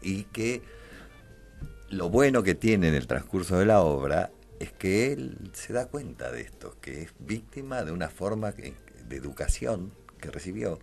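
A man, a guest in a radio studio who describes a play in a relaxed, storytelling way and laughs often. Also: deep voice. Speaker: guest actor. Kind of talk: casual radio interview. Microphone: studio mic with headphones.

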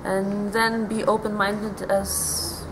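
A young woman from Lithuania, a volunteer, answering interview questions thoughtfully with pauses, outdoors in a garden. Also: mid-range voice, little pitch movement. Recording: outdoor noise.

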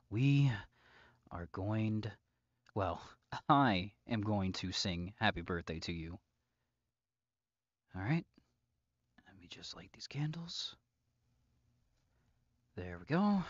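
A man playing a possessive, psychopathic captor lover in an intimate audio roleplay, mockingly sweet and taunting, moving between softness and sharpness. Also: lowish voice, sing-song, no room sound, lo-fi sound, heavily acted. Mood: playfully menacing. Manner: drawn-out, taunting, swinging between soft whispers and sharp outbursts.

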